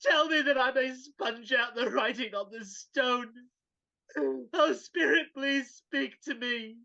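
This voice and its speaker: A woman's voice: high voice, slow pace, sharp tone, heavily acted. A woman playing an old reformed miser in an impassioned, theatrical live dramatic reading over a video call. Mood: pleading, fearful. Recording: dull video call audio.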